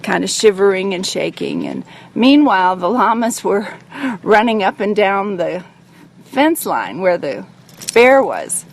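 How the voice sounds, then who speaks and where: A woman's voice: mid-range voice, big pitch swings, a little slow, vocal fry. An American woman talking informally in an interview about her work. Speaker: farm owner. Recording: background noise and ambience.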